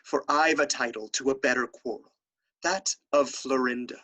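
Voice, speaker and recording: A man's voice: medium pitch, moderate pace, sharp tone. A man playing a proud, jealous young nobleman in a period audio drama, with formal, dramatic archaic speech. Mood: challenging.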